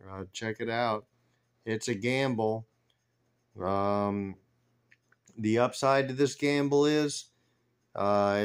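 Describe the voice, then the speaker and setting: A man's voice: mid-range voice, clear, resonant tone. A man giving informal, rambling stock commentary, thinking aloud as he reads through figures on his screen. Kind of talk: informal screen-share commentary on company finances.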